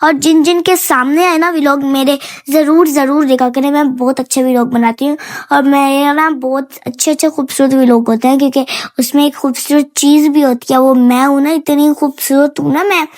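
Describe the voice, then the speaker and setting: Woman vlogger, bright high voice, rambling and chatty, a bit hoarse. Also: fairly even intonation, normal speed. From Pakistan. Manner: chatty, repeats phrases, playful asides. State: hoarse from a sore throat and fever. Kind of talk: casual vlog narration.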